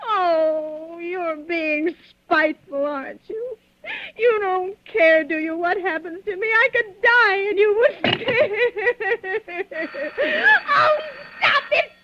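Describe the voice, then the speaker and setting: A muffled, dry old radio drama recording carries a panicked invalid wife. Her very high, trembling voice stammers and pleads, then turns imperious and demanding, full of nervous self-pity. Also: takes it slowly, pushed and bright, dry recording, heavily acted. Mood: hysterical, tearful. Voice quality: high, tremulous. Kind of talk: classic radio thriller acting.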